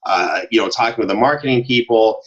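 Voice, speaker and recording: A man's voice: fairly low pitch, a little fast, highs cut off. A middle-aged American man chatting casually as a podcast guest, with a joking, dryly sarcastic manner. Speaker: podcast guest, audio drama producer. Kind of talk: casual conversational interview.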